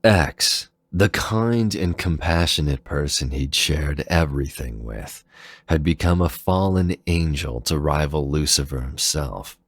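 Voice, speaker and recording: A man's voice: extremely low pitch, unhurried, no echo, clean studio sound. A man narrating a dramatic story in a solemn, theatrical manner.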